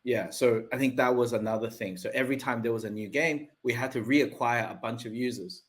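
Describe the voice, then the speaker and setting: A man's voice: medium pitch, brisk. A man, talking loosely as a podcast guest and often checking in with tag questions. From Australia. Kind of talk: casual interview talk.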